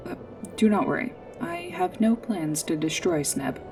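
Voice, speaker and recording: A woman playing a polite, earnest android guide in a sci-fi ASMR roleplay, speaking in formal, precise, literal phrases with hesitant pauses. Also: fairly low pitch, very animated, a little mellow, far from the mic. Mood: polite, pleased. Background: music.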